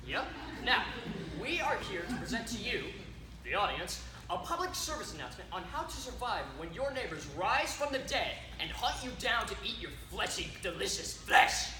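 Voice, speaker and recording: A woman's voice: medium pitch, very animated, normal speed, lightly acted. A woman playing an earnest, self-important presenter of a zombie survival announcement on stage, mock-serious and declamatory. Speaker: presenter narrating the play. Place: stage in a hall. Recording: echoey stage recording.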